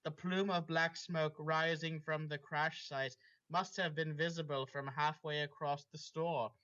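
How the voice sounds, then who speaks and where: A man's voice: fairly high pitch, little pitch movement, measured pace, pushed and bright. A man reading fan fiction aloud and breaking off into casual, chatty asides. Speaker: story reader. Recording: dull lo-fi recording.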